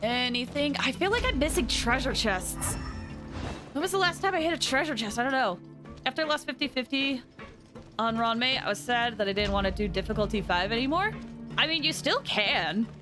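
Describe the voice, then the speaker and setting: A chatty woman game streamer with a fairly high voice. She rambles informally to her viewers, with game music clearly playing behind her. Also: animated, brisk. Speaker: game livestreamer. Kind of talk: casual chat with viewers while gaming.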